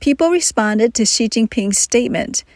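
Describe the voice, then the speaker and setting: A woman narrating a news report in a measured, scripted reading. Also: on the high side, expressive, a little mellow, dry recording, studio quality.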